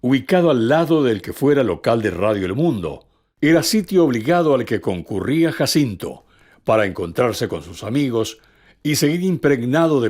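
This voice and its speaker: A man's voice: medium pitch, a little mellow, slightly rough. A man reading scripted documentary narration.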